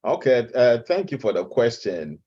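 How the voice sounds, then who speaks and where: A middle-aged man with a Ghanaian accent, a guest expert answering interview questions slowly, with frequent hesitant fillers. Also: medium pitch, very animated, darkish tone, slightly rough, lo-fi sound. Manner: measured and slow, with many hesitant "uh" fillers. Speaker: guest expert, nutrition professor.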